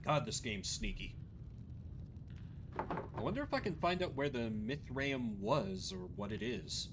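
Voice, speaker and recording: Animated male game streamer, very lively pitch. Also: measured pace, gravelly, background noise. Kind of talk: casual playthrough commentary.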